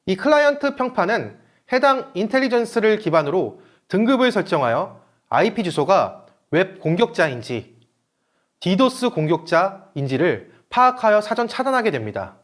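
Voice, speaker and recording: A man's voice: high voice, very animated, normal speed. A man giving a scripted webinar presentation in a formal, explanatory manner. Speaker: presenter, company manager.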